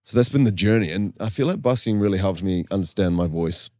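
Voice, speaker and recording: A young man, chatting casually as a guest musician on a podcast, laid-back and quick to laugh. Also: deep voice, mellow, dry recording, studio quality. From New Zealand.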